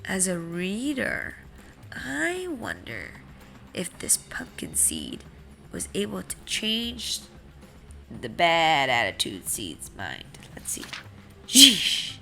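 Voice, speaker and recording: A woman reading a picture book aloud to children, expressive, asking questions and explaining words as she goes. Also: lowish voice, takes it slowly, gravelly, faint music behind. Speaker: read-aloud storyteller for children.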